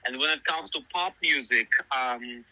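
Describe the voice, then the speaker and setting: A man's voice: medium pitch, normal speed, pushed and bright, a little hiss or hum, poor audio. A middle-aged man whose first language is Russian, giving long, thoughtful interview answers full of fillers and restarts. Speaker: musician guest.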